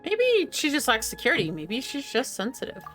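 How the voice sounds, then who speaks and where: A woman's voice: high-pitched, huge pitch swings, normal speed, fairly bright tone. A woman game streamer giving playful commentary and reading dialogue aloud as she plays. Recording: game music behind.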